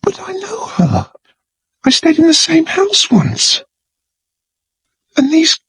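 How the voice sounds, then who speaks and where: An actor playing a gallant but shaken young gentleman, speaking haltingly and emotionally with well-bred politeness. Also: extremely high pitch, wildly swooping pitch, no room sound, heavily acted. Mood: horrified.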